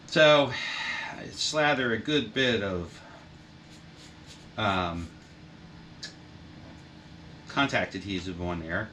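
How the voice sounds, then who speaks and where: Low-voiced man rambling through a craft project. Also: very slow, pauses often, pushed and bright. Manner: rambling, profane, self-deprecating asides. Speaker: hobbyist bookbinder vlogger. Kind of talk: casual workshop how-to narration. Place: home workshop with heater blowing. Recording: wind noise from heater on mic. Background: heater blowing and printer running.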